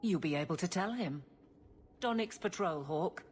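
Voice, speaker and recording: An actress in a video game playing a stern, proud guard captain who speaks bluntly and firmly. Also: female voice, fairly low pitch, animated, normal speed, slightly rough. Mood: resolute. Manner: blunt, firm.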